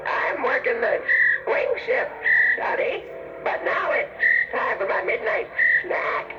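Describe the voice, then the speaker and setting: A man's voice: extremely high pitch, sing-song, slow pace, exaggerated voice. A man voicing a folksy, hard-working gopher on a children's storybook tape. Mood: businesslike. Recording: dull roomy cassette with music, distant.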